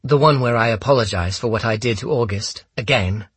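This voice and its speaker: A man's voice: mid-range voice, a little fast, slightly rough, no room sound, pitched above the performer's own voice, lightly acted. A man voicing a cool, defiant young woman in an audiobook, with a level, sardonic, taunting delivery. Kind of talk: audiobook character dialogue.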